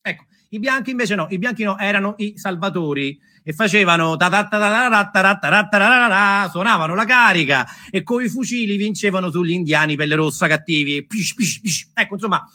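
A man's voice: sits high, brisk, hardly any pauses, bright, forceful tone. A man, telling a story as a theatrical monologue in a playful, conversational way. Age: 40s. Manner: conversational, playful, mimics sounds with the mouth.

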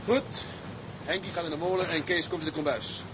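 An actor playing a dutiful, practical first mate in a seafaring radio drama, matter-of-fact and respectful. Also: male voice, on the high side, a little fast, background noise, lightly acted. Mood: businesslike.

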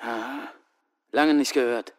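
An actor playing a teasing, dark-humoured American GI in Vietnam, theatrical, in a German radio play. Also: male voice, medium pitch, fairly even intonation, takes it slowly, lightly acted. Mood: mocking.

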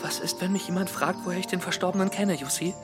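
A man's voice: high voice, fast, over music, lightly acted. An actor playing an anxious, pedantic young male maths student in a naturalistic radio drama. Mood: nervous. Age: young adult. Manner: fretful, pedantic.

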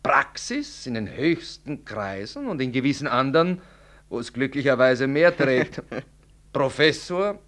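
A slyly amiable doctor in a radio drama. His mid-pitched voice rises and falls a great deal, polite and ingratiating while always insinuating. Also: sing-song, measured pace, a bit bright. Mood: defensive, insinuating. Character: smooth-talking, slyly insinuating male doctor, outwardly amiable.

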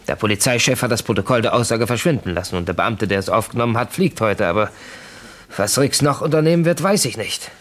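An actor playing an uneasy official in a radio crime drama, reporting in a measured, confidential way. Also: male voice, lowish voice, lively intonation, fairly bright tone. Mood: worried.

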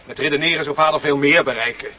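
Anxious, sharp-voiced pleading son. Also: male voice, a little high, quick pace, slight background noise. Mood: weary, worried. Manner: earnest, alarmed pleading. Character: anxious, conscientious son pleading against violence. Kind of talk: radio drama acting.

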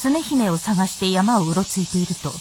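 A woman's voice: medium pitch, animated, moderate pace, a little mellow, a little hiss or hum. A woman reading scripted narration for a Japanese radio drama.

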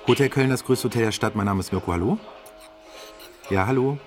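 A man's voice: mid-range voice, fairly quick. A man speaking as a hotel receptionist, making announcements and taking booking calls. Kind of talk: promotional announcement and phone booking talk. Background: guitar music.

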